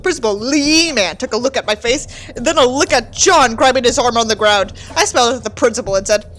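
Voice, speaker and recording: A woman's voice: fairly high pitch, fairly quick, a bit bright, slight background noise. A woman giving a mock-dramatic reading of a book with theatrical, sarcastic delivery and candid asides. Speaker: host reading a book aloud with commentary.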